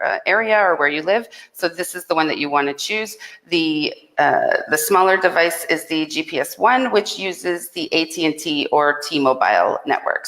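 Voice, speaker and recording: A woman hosting a live Q&A and informally explaining a product, with a slightly halting delivery. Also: low-pitched, sharp tone, poor audio. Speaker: host and company representative.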